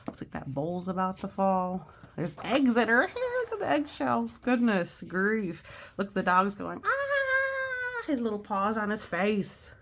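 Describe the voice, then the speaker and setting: Creaky-voiced woman chatting through a picture book. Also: mid-range voice, animated, measured pace, a bit bright, a little hiss or hum. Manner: chatty, exclamatory, explains things simply to children. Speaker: storyteller reading a picture book aloud. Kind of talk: children's read-aloud with casual explanatory asides.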